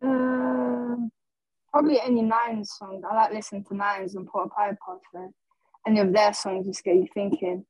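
A woman's voice: medium pitch, level tone, normal speed, darkish tone, no room sound, poor audio. A woman guest on a video call, answering hesitantly with fillers. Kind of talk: casual chat over video call.

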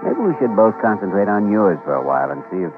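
An actor playing an earnest, playful young doctor who is tenderly smitten, in an old-time radio drama. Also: male voice, lowish voice, expressive, fast talker, close to an everyday voice. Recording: muffled vintage radio broadcast, music behind.

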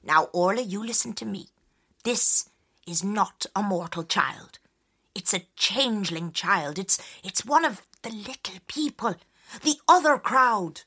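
A woman voicing an old wise woman, firm and hushed with sudden shrieks. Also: medium pitch, expressive, a little slow, husky, exaggerated voice. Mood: grave. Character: old wise village woman, shrewd and warning.

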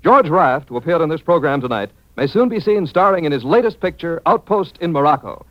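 A man's voice: a little high, animated, brisk, no echo. A man with an American accent announcing in polished, punchy 1940s radio advertising style. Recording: dull dry vintage radio.

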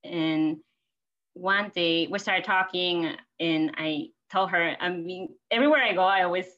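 A woman in her thirties from Peru, with Spanish as her first language, chats as a podcast guest. Her voice is very low yet bright and forward, and she rambles cheerfully through personal stories. The audio is a little dull and lo-fi. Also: measured pace. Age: 30s. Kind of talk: casual personal storytelling.

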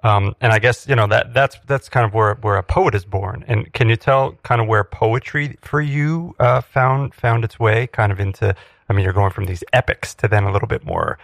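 Low, gravelly male interviewer. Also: steady tone, moderate pace, a bit bright, no room sound, studio quality. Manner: encouraging, brief affirmations. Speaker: interviewer, podcast host. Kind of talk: casual interview.

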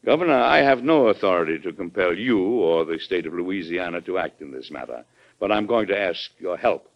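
An actor playing a firm, measured American president in a classic radio drama, speaking with formal, deliberate courtesy. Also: male voice, sits low, expressive. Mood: courteous, grave. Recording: dull old radio broadcast recording.

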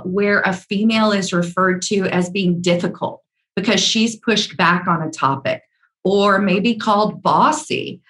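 A woman from the American South speaking with a measured, earnest manner and frequent ums, her Southern accent mostly hidden. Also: mid-range voice, a bit bright. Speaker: speaker on workplace diversity. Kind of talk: talk with personal stories.

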